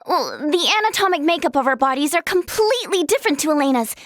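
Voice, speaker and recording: A very high, animated voice of a cheerful teenage girl, inquisitive and quick to tease. She sounds like an excitable video game heroine with an eager scientific streak, recorded close and dry. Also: no echo. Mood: excited, eager. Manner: perky, animated, teasing, youthful. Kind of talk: video game character dialogue.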